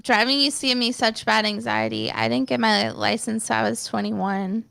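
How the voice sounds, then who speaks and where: Young woman with a creaky, higher voice. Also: animated, normal speed, fairly bright tone, no echo, studio quality. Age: young adult. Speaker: podcast guest. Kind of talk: casual chat with swearing.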